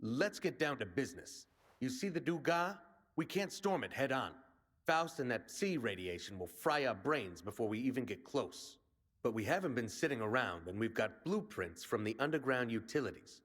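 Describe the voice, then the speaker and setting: Cocky, animated male resistance commander. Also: mid-range voice, moderate pace. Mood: confident briefing. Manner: cocky, rallying, plain-spoken soldier talk. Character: brash male resistance commander briefing a raid. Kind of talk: video game voice acting.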